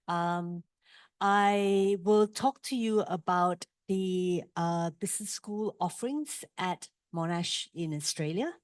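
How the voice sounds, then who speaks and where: A middle-aged woman with a Malaysian accent speaks to a room of new students at orientation. Her voice sits a little low and she moves along in a measured way, filling pauses with ums and uhs. The sound is dry and close. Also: clean tone, no room sound. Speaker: university presenter.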